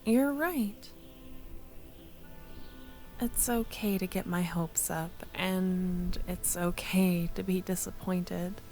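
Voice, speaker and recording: A woman playing a tender, wistful young childhood friend in a soft-spoken, breathy ASMR audio roleplay, often close to a whisper. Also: lowish voice, faint music behind. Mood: sad, wistful. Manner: slow, tender, hesitant.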